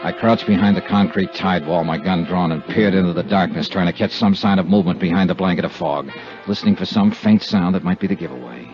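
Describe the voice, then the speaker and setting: An actor with an American accent playing a shrewd, wry, clipped insurance investigator in a 1950s radio detective drama. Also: male voice, low voice, a little fast, few pauses, soft background music. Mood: tense narration. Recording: muffled, noisy 1950s radio recording.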